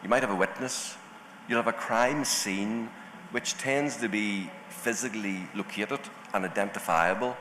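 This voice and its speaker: A man from Northern Ireland with a Northern Irish accent, speaking deliberately as a panelist at a conference. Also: lowish voice, bright, forceful tone, slight background noise. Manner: deliberate, enunciating carefully. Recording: echoey hall with faint ambience.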